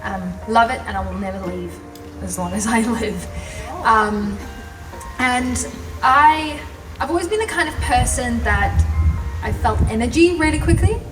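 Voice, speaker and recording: A woman's voice: a little high, expressive, unhurried. A young woman telling her personal story as a guest speaker, passionate and chatty, laughing often. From South Africa. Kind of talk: personal story at a live event. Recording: roomy, from far off, music behind.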